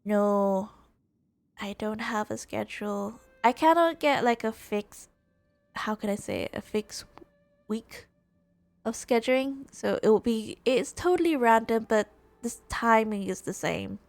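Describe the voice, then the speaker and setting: Slow-talking, creaky-voiced woman livestreamer. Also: on the high side, expressive. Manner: halting, repeats and rephrases herself. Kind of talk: casual gaming livestream chat. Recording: roomy, music under the voice.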